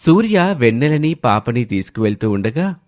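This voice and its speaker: A man's voice: medium pitch, sing-song, normal speed, a little mellow. A man reading a scripted family drama story as the storyteller, in a dramatic narrating style.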